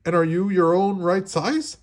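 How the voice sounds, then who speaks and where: A man voicing a proud, excitable male toad from a storybook, exclaiming loudly and dramatically. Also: high-pitched, measured pace, pitched above the performer's own voice. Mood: relieved.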